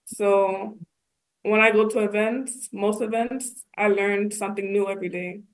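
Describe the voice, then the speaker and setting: A young woman with a medium-pitched voice gives casual interview answers. She is a college student from the United States, plain-spoken, working out her thoughts as she talks. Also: steady tone, unhurried, low-quality recording. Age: young adult.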